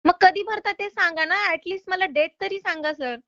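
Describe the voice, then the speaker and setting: A woman's voice: very high-pitched, moderate pace, bright, forceful tone, no room sound. A woman making a loan recovery call in an insistent, polite but exasperated manner. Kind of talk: phone collection call. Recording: slightly dull phone-call audio.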